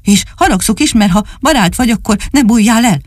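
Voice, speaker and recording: A woman voicing a small, excitable little dog that whines and sulks in a storybook reading. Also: a little high, no room sound, studio quality, voice pushed up. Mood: scolding. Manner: whiny, sulky and excitable.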